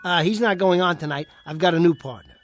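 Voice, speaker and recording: An actor playing an uneasy nightclub ventriloquist in a radio play. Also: male voice, high voice, fast talker, soft background music, lightly acted. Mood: troubled. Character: uneasy, troubled nightclub ventriloquist doing straight-man patter.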